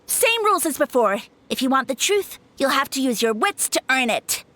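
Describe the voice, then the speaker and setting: A pompous mushroom spirit holds court, played by a woman. The voice sits high and bright and leaps up and down with showy grandeur, dodging awkward questions in a video game scene. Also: big pitch swings, moderate pace, a little hiss or hum, full character voice. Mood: huffy. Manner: grandiose, evasive, theatrical. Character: boastful male mushroom spirit, self-styled savior. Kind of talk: game voice acting.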